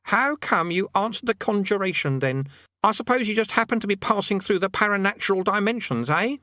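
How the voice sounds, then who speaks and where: Man playing a mad male demonologist, high and pompous, stammering commands, very lively pitch, dry close sound. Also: a little fast, no room sound. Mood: excited, pompous. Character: scrawny excitable middle-aged male demonologist, mad and pleading. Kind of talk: audiobook character voice.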